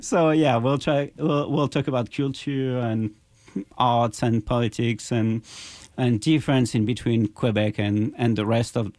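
A man's voice: mid-range voice, steady tone, takes it slowly, darkish tone, dry recording. A man hosts an easygoing bilingual chat and laughs easily. His voice is in the middle of the male range. The sound is close and dry, with no room around it. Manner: laughs easily, switches between French and English. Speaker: host. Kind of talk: casual radio chat.

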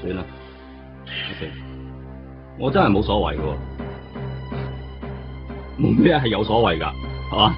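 An actor playing a world-weary veteran police detective in a radio drama, with a Hong Kong Cantonese accent and a drawling, resigned, defensive manner. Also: male voice, fairly low pitch, very animated, unhurried, long pauses. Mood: bitterly resigned. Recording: muffled radio drama recording. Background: music.

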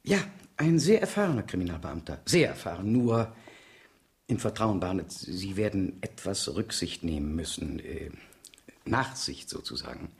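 Police superintendent, formal, courteous, hesitant on delicate subjects, highly varied intonation, 1970s radio drama. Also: male voice, big pitch swings, normal speed, vocal fry, full character voice. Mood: awkward, confiding. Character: courteous but awkward male police superintendent in charge. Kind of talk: 1970s German radio crime drama acting.

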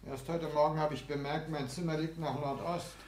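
A man's voice: a little high, fairly even intonation, a little fast, darkish tone, close to an everyday voice. An actor playing a touchy, fretful male hypochondriac lodger in a 1960s German radio play, fussy and aggrieved.

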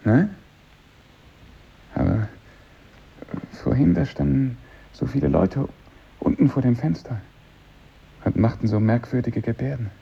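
An actor playing a withdrawn, paranoid failed poet in a 1950s radio drama, hesitant and secretive. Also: male voice, mid-range voice, a little slow, vocal fry, pauses often, husky, full character voice. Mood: agitated suspicion. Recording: muffled old radio recording.

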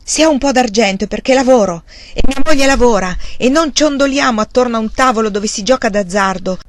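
A woman's voice: mid-range voice, a little fast, dry recording, lightly acted. A woman voicing a young farmer man who speaks curtly and bluntly. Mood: angry. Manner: curt and blunt. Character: hardworking young farmer, man, proud and stubborn.

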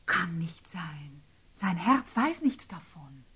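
An actress playing a young, devoted, passionate daughter in a classic German radio drama, emotional and urgent. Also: female voice, on the low side, very animated, slow pace, fairly bright tone, breathy edge, exaggerated voice. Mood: stunned. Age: young adult.

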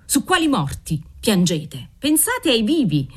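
A woman's voice: fairly low pitch, sing-song, moderate pace. Woman reading as a volatile old nobleman. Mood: furious. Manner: swings from dreamy murmuring to furious shouting; fervent, obsessive. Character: aging nobleman, desperate, volatile, pious father.

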